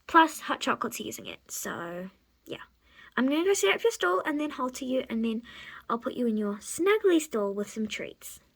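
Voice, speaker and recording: Fond horse owner, acted by a woman: sweet, doting, everyday delivery, high and bright. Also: sing-song, moderate pace, vocal fry, heavily acted. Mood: fond, soothing. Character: affectionate female horse owner cooing to her pony.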